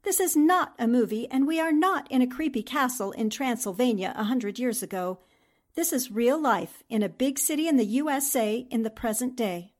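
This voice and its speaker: A woman playing a sharp-witted, skeptical newspaper reporter in a 1940s-style radio drama, with a no-nonsense manner. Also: on the high side, lively intonation, moderate pace.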